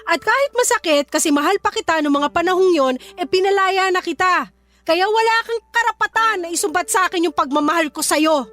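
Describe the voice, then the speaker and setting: A young Filipino woman who is hurt and fed up. Her voice sits extremely high and she scolds with firm emphasis. It is radio drama, with soft music underneath. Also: fairly quick. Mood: indignant. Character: hurt, indignant young woman standing up for herself. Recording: music behind the voice.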